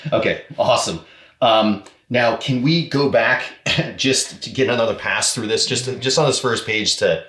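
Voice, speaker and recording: A man speaking casually and effusively as an audio drama director, halting as he finds his words. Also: medium pitch, a bit bright. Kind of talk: casual, unscripted behind-the-scenes talk.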